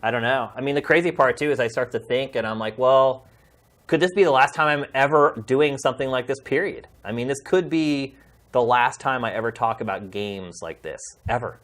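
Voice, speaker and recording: A man's voice: mid-range voice, brisk, a bit bright. A middle-aged man speaking as a podcast host, addressing viewers in a casual, heartfelt and emphatic way.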